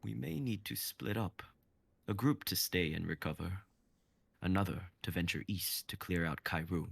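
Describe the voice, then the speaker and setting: A man voicing Guang, a calm, knowing guide who gives measured advice. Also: deep voice, gravelly, breathy edge, no echo, heavily acted. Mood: steady.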